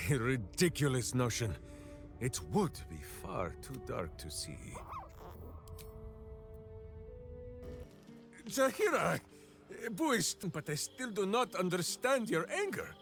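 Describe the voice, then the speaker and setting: An actor playing a hearty, simple-minded berserker ranger in a fantasy video game, speaking in grandiose, oddly phrased declarations. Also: male voice, fairly high pitch, huge pitch swings, measured pace, vocal fry, fairly bright tone, heavily acted. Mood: earnest, puzzled. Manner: grandiose, third-person heroic declarations with odd phrasing. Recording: music behind the voice.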